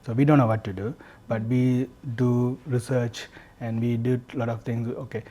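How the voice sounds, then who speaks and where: A man's voice: mid-range voice, level tone, normal speed, dark tone. Earnest Sri Lankan man, podcast guest. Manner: earnest, repeats and restarts phrases. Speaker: guest, candle business founder. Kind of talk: podcast interview, casual.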